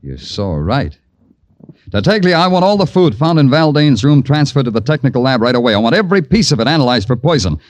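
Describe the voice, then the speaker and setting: An American actor playing a weary, wry police detective who narrates his case in deadpan 1940s radio style. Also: medium pitch, brisk, no room sound, close to an everyday voice. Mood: firm. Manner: deadpan, sardonic. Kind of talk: 1940s radio crime drama acting, hardboiled narration. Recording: old 1940s radio broadcast, music behind.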